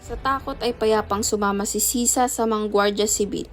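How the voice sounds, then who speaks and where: Radio play narrator: woman, mid-range voice, scripted storytelling over soft music. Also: medium pitch, fairly even intonation, normal speed.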